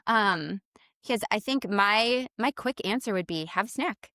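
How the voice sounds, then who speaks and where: A woman's voice: mid-range voice, animated, unhurried, bright, forceful tone, no room sound. A woman in her thirties, a dietitian guest on a podcast, talking casually on a close mic with lots of "like" and "um" and easy laughter. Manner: chatty, fillers like "like" and "um", laughs easily.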